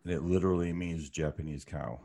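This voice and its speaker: Man with an extremely deep voice. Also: fairly even intonation, a little fast, vocal fry, dark tone. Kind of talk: casual chat.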